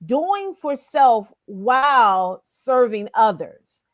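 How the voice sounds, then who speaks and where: Slow, lively-voiced woman host. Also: fairly high pitch, dry recording, studio quality. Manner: measured, affirming. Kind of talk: talk show hosting.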